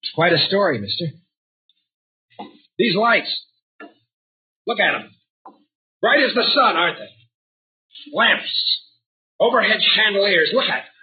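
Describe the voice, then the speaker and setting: An actor playing a desperate middle-aged man tormented by fear, halting and overwrought in 1950s radio drama style. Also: high-pitched, expressive, breathy edge, full character voice. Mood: feverish. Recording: muffled old-time radio recording, narrow band.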